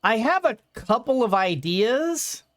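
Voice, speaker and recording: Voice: mid-range voice, big pitch swings, slow, a bit bright. A let's play streamer giving casual game commentary, rambling and thinking aloud.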